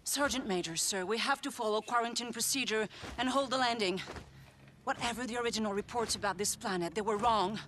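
A woman's voice: fairly high pitch, fairly quick, lightly acted. A woman acts an alarmed mission officer in a video game cutscene. She speaks with urgent, earnest pleading over noisy surroundings. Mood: alarmed warning. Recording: loud battle and ambience noise behind.

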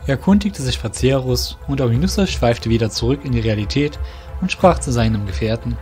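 A man's voice: medium pitch, expressive, brisk, a little mellow, soft background music. A man narrating a scripted fantasy audio drama in a literary storytelling manner.

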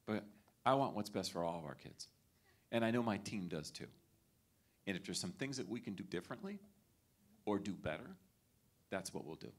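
Deep-voiced reassuring male superintendent. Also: sing-song, normal speed, pauses often. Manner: reassuring, with frequent tag questions. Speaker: school superintendent. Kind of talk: public meet-and-greet address. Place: library auditorium. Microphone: handheld microphone.

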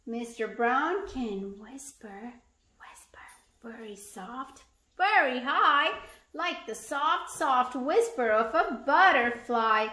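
A woman reading a picture book aloud for children in an expressive, sing-song way, acting out sound words. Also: a little high, slow pace, roomy sound. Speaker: parent or teacher reading aloud and asking questions.

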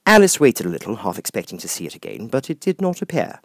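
A man reading a children's classic as an audiobook narrator, in an expressive storytelling style. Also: on the low side, fast talker, gravelly, no room sound, clean studio sound.

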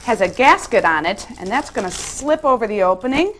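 A woman's voice: fairly high pitch, animated, fairly quick, fairly bright tone, a little hiss or hum. A woman on a renovation crew explaining each installation step in a friendly way while she works. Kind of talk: hands-on how-to instruction.

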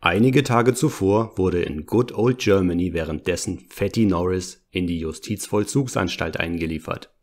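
An actor playing a genial, scheming older director and storyteller in a German audio play parody. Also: male voice, deep voice, normal speed, few pauses. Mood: narrating.

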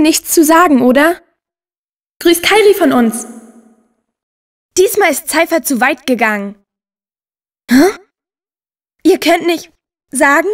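A woman playing a friendly, upbeat teenage girl in a dubbed video game, with an earnest, expressive delivery. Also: high-pitched. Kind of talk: German video game dubbing.

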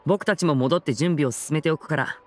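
A man playing a polite, gentle young stage magician with a soft boyish manner in a Japanese video game. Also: a little high, fairly quick, background noise, natural voice. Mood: thoughtful.

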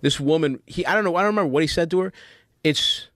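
A man in his thirties, a comedian guest on a podcast, talking in casual, animated chat, swearing often and gushing with enthusiasm. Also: on the high side, fast, no room sound, studio quality. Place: studio with red curtain. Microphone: studio mic, wearing headphones.

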